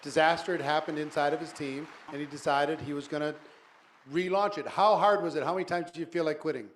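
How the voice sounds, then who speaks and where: A man's voice: fairly high pitch, steady tone, fast talker, a little hiss or hum. A man hosting a motivational interview, emphatic and repeating key points for effect. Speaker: event host, interviewer.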